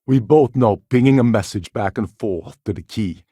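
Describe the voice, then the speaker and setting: A man playing a gruff, sardonic space pirate con man in a video game, with a gravelly, husky voice and a wry, scheming manner. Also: fairly low pitch, normal speed, dark tone, no room sound, clean studio sound. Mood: irritated, sarcastic. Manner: wry, sarcastic, world-weary.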